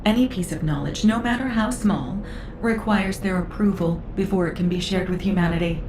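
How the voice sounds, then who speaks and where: An actress playing a composed, analytical researcher in a video game, speaking in a measured, formal way. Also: female voice, lowish voice, noisy background, natural voice. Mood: curious, composed. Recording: reverberant voice over loud game music.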